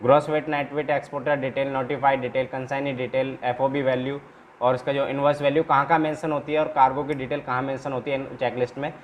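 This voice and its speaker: A man's voice: mid-range voice, little pitch movement, quick pace, lo-fi sound. A man with an Indian accent giving an informal step-by-step tutorial as a trainer, repeating himself and often checking in with short tags. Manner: repetitive, with frequent check-in tags. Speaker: export-import trainer presenting a tutorial. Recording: noticeable background noise.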